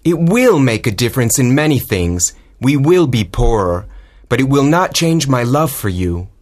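An actor playing an impatient young suitor who presses and persuades in a dramatized audiobook. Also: male voice, mid-range voice, big pitch swings, normal speed, a little mellow. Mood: probing, persuasive. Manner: urgent, demanding, persuasive.

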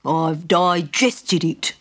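A woman voicing a calm, matter-of-fact male piggy bank that talks in a slow, unflustered way and is sometimes cross. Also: fairly low pitch, voice pushed down.

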